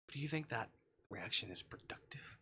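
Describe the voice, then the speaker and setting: A man voicing a calm, coldly clinical and patronizing male kidnapper in a visual novel game, flat and measured. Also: medium pitch, slight background noise. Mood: cold, controlled.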